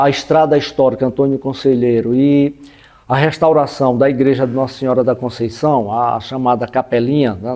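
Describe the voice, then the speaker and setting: A man's voice: mid-range voice, warm tone. A man with a Northeastern Brazilian accent explaining local history off the cuff, in a didactic way with frequent check-in tags. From Brazilian Northeast, backlands of Sergipe.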